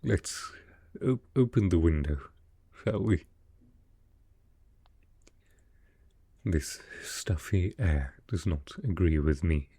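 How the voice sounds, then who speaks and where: A man playing a sickly, proud, melancholy aristocrat in a roleplay audio drama, speaking softly in a formal, measured, dryly reserved way. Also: animated, husky, no room sound, heavily acted. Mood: frail, reluctantly grateful. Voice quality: low, soft, with a cough.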